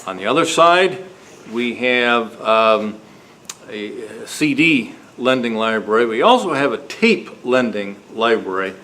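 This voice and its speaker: A man's voice: medium pitch, expressive, takes it slowly, sharp tone. A man hosting an informal club meeting, making announcements to a group in a casual, hesitant way. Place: meeting room, before a group. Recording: noisy live meeting room recording.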